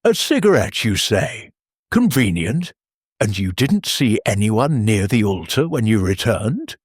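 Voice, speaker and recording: Audiobook constable played by a male narrator: accusatory, pressing, skeptical, with a husky edge and dry sound. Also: fairly low pitch, very animated, measured pace, no room sound, heavily acted. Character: blunt, accusing, skeptical village police constable. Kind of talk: audiobook character dialogue.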